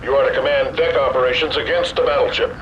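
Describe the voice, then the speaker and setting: An actor voicing a senior naval admiral who gives orders in a calm, authoritative way. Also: male voice, mid-range voice, quick pace, lo-fi sound, slight background noise, close to an everyday voice. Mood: commanding. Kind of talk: scripted game cutscene.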